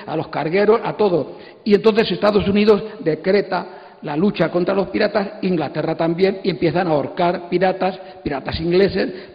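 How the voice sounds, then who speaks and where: An older man in his sixties with a Castilian accent holds forth on a stage. His voice is fairly high and full of gravel, and he talks emphatically, circling back on his phrases. The hall rings around him. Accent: Castilian Spanish. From Spain. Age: 60s. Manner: emphatic, digressive, repeats phrases. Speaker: panelist. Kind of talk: animated informal lecture to an audience. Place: stage of an auditorium. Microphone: handheld microphone. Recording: echoey hall PA, dull sound.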